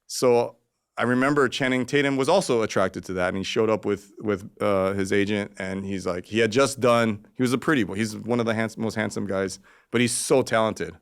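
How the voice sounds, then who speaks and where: A man's voice: fairly low pitch, fairly quick, smooth. A man from Los Angeles, a podcast guest, telling stories in a casual, profane, rambling way with frequent restarts. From Los Angeles, Koreatown. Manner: acts out quoted voices. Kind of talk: casual storytelling.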